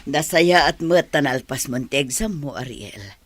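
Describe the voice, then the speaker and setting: A woman's voice: low voice, lively intonation, a little slow, fairly bright tone, heavily acted. A woman playing a weary, ailing teacher and loving mother in a melodramatic audio drama. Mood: weary, dazed.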